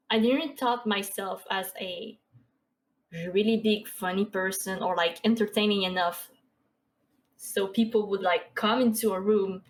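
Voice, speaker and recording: French-Canadian woman in her twenties chatting. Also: mid-range voice. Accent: Québécois French. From Quebec. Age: 20s. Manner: chatty, many fillers and soft laughs. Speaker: podcast guest, streamer and artist. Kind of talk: casual interview chat.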